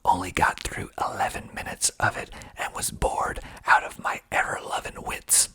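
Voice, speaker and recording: A man's voice: moderate pace, bright, forceful tone. A man whispering breathily in an ASMR style as he reads aloud, adding wry, understated asides. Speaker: filmmaker and actor reading reviews of own film.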